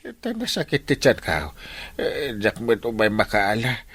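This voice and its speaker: A man's voice: on the low side, big pitch swings, measured pace, fairly bright tone, husky, exaggerated voice. A whiny, groaning village captain in an Ilocano-accented Filipino radio comedy. His voice leaps wildly up and down as he exclaims and complains, often stumbling into hesitation. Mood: whining, pained. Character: comic village captain, whining and groaning over a bellyache.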